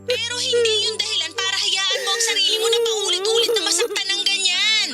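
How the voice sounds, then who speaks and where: A woman's voice: extremely high pitch, steady tone, brisk. A woman playing a protective, exasperated young best friend in a radio drama, blunt and insistent. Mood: exasperated, scolding. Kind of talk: Tagalog radio drama acting. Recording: loud music behind the voice.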